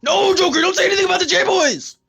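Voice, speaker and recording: A man's voice: extremely high pitch, fast, vocal fry, pushed and bright, a little hiss or hum, full character voice. A man voicing a harried, self-important superhero in a comedy parody, scolding and pleading in indignant exasperation. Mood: exasperated.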